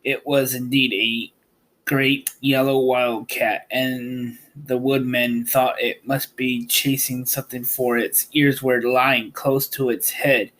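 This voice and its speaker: Slow-reading man narrating a storybook. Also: mid-range voice, flat delivery, a bit bright, low-quality recording. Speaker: host reading a book aloud.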